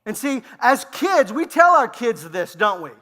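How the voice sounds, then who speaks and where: A man preaching a sermon in English in short phrases, pausing for a live interpreter in a church. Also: extremely high pitch, big pitch swings, sharp tone. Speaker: guest preacher. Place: church sanctuary. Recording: long church hall reverb.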